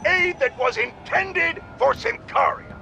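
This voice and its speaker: A man's voice: very high voice, takes it slowly, bright, forceful tone, full character voice. An actor playing an irascible, self-important male radio pundit in a video game, bombastic and sarcastic as he rants to his listeners. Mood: triumphant, accusing. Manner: bombastic, sarcastic, exaggerated indignation. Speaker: radio talk show host. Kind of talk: opinionated radio rant. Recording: clear background city noise from gameplay.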